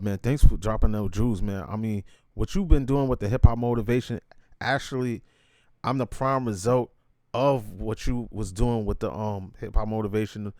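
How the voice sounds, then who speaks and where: A man's voice: on the low side, no room sound, studio quality. A man hosting an interview in casual, slangy chat, affirming and enthusiastic toward his guest. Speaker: interviewer, host.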